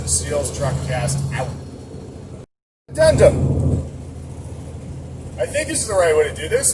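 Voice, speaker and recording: A chatty trucker talks to the camera as he drives, rambling and joking at his own expense. His voice sits a little high and reaches a mic set back in the cab. Engine and road noise rumble clearly behind him. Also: recorded from a distance. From Boston area. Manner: rambling, chatty, self-mocking. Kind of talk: casual vlog while driving. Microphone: camera mounted inside the cab.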